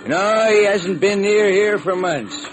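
A man's voice: sits high, slow pace, soft background music, rough recording. A man playing a plain-spoken, obliging zoo keeper in an old-time radio crime drama.

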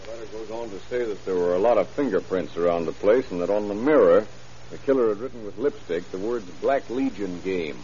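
An actor playing a dogged, earnest police lieutenant with clipped cop slang in a vintage radio crime drama. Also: male voice, on the low side, brisk, mellow, lightly acted. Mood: earnest, determined. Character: dogged, hunch-driven male police lieutenant. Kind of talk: 1930s-40s crime radio drama acting. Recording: muffled old radio recording, faint hiss.

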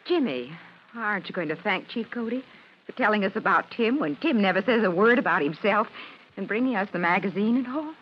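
A woman's voice: mid-range voice, animated, brisk, close to an everyday voice. Tender mother played by an actress, muffled sound. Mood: proud. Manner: fussing, gentle, earnest. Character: tender, proud, easily hurt mother. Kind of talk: old-time American radio drama acting. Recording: muffled, hissy old radio recording.

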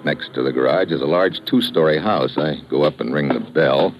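An actor playing a tough, cool-headed American government investigator in a clipped radio detective drama style. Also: male voice, rumbling low, normal speed, background noise. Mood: hard-boiled narration. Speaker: lead investigator and narrator. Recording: muffled old radio recording.

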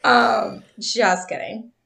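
A woman's voice: a little high, steady tone, very slow, gravelly, a crowd in the background. A woman in her thirties co-hosting a podcast, telling a true crime story in a casual, chatty way, laughing often and stumbling over names.

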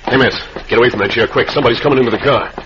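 An actor playing a greedy, edgy outlaw henchman in an old-time radio western, with rough, ungrammatical cowboy talk. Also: medium pitch, very fast, natural voice. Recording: dull, noisy old radio recording.

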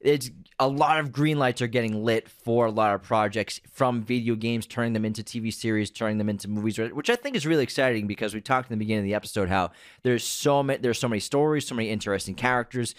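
A man's voice: medium pitch, quick pace, hardly any pauses, no echo, studio quality. Upbeat male podcast host. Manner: upbeat, laughs often, leads the questions. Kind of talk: casual podcast chat.

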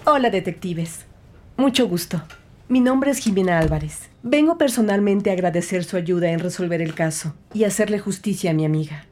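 A woman's voice: on the low side, lively intonation, moderate pace, darkish tone, lightly acted. A woman playing a victim's grateful friend, speaking politely and formally in a crime audio drama. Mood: grateful, solemn.

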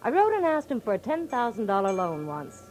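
A woman's voice: a little high, huge pitch swings, fairly quick, darkish tone. An actress playing a feisty, blunt young woman with slangy wisecracks in 1940s radio drama. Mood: wry, candid. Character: brash, tough-talking young blonde bar owner, feisty.

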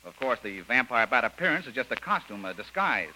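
An actor playing a brisk, take-charge adventurer hero in a 1930s style radio serial. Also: male voice, mid-range voice, big pitch swings, bright, forceful tone, poor audio. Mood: curious, probing. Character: Jungle Jim, brisk commanding adventurer hero, man. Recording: old radio recording with faint noise.

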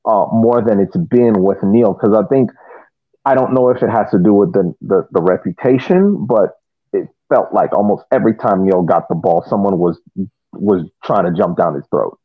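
A man's voice: lowish voice, husky, no room sound, narrow, tinny audio. A man giving casual soccer analysis as a podcast panelist, often repeating words as he works through his point. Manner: halting, repeats words while reasoning.

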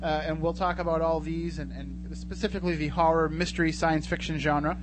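A man's voice: fairly high pitch, flat delivery, brisk, noisy background. A man hosting a radio show in a casual, chatty and jokey way from a studio.

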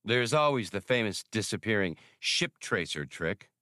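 A man voicing a gruff, sarcastic male starship captain in an audiobook, curt and threatening. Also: lowish voice, animated, moderate pace, sharp tone, no echo, studio quality. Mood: dark, threatening.

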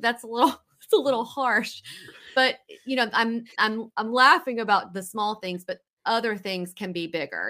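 Woman podcast host, bright voice, friendly casual chat, mid pitch. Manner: friendly, chatty, fills with okay and mm-hmm.

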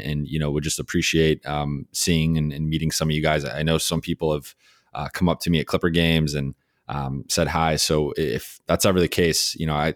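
Young male podcast host, extremely deep voice, halting and sincere, dry close mic. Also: no echo. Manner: halting, many fillers and restarts, sincere. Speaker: podcast host, sports beat writer. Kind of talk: solo farewell podcast message.

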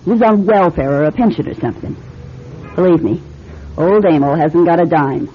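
A woman's voice: on the low side, normal speed, natural voice. A woman acting a plain-spoken, gossipy landlady in a radio play. She chats in an offhand, folksy, dismissive way. The recording is muffled, with some noise behind. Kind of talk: old-time radio drama acting. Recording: dull, noisy old radio drama recording.

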